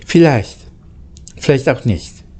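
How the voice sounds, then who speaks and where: A man voicing a kindly, thoughtful adult engine driver in a storybook, measured and reassuring. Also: medium pitch, expressive, a little mellow, husky. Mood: gentle, uncertain. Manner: measured, comforting.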